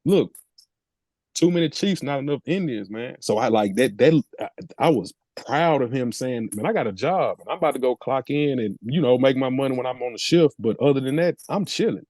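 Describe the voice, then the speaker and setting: A middle-aged man with a relaxed, soft-edged tone and very little room sound. He talks as a casual podcast co-host, making his points in slangy, emphatic, opinionated language. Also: medium pitch, brisk, dry recording.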